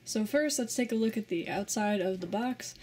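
A woman casually reviewing a toy set as host of her channel, relaxed and plainly enthusiastic, pausing often. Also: medium pitch, breathy edge. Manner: relaxed, plain enthusiasm with frequent filler pauses.

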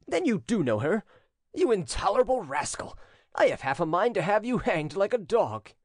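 Man acting imperious prince, swooping, animated delivery. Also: a little high, fairly quick, no room sound, clean studio sound, voice pushed up. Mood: imperious, angry. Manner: imperious, ironic, commanding. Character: haughty, menacing Neapolitan prince, imperious master. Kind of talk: audiobook character acting.

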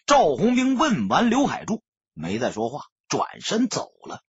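A man with a raspy voice narrating a novel as a dramatic audiobook reader. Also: sits high, wildly swooping pitch, unhurried, dry recording, muffled recording. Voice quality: husky, raspy.

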